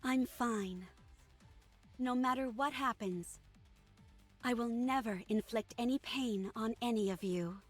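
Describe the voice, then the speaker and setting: A vain yet gentle young heroine of an anime game. Her voice is high and she takes her words slowly, with regal pride. Music plays faintly behind her. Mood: resolute.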